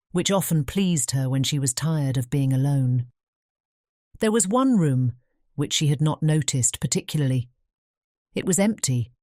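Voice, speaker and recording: A woman narrating a fairy tale audiobook in a measured bedtime storytelling manner. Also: sits low, dark tone, no room sound, clean studio sound.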